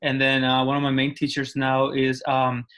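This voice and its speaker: A man's voice: medium pitch, monotone, moderate pace, fairly bright tone. A man from Colombia chatting on a video call, hesitant and full of ums and yeahs. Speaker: workshop participant. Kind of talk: casual video call chat.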